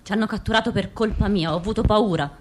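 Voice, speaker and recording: A woman's voice: medium pitch, fast talker, lightly acted. An actress playing an anxious female secret agent confessing her failure in a radio theatre monologue. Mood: guilty, anxious.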